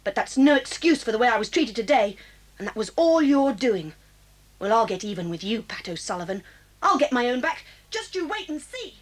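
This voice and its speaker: A bitter, defiant English schoolgirl in a children's radio-style drama. The voice is high and highly animated, full of sullen scorn. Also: brisk, low-quality recording. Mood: bitter, threatening.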